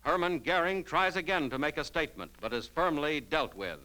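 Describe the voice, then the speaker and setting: A man's voice: a little high, animated, fairly quick, sharp tone, poor audio. A man delivering dramatic, emphatic scripted narration on a 1940s newsreel soundtrack.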